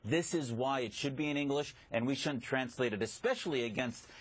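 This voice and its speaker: A man's voice: mid-range voice, fairly quick, breathy edge, no echo. A man whose first language is Arabic, speaking as a guest commentator in a live TV news interview, arguing his point emphatically. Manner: emphatic, argumentative.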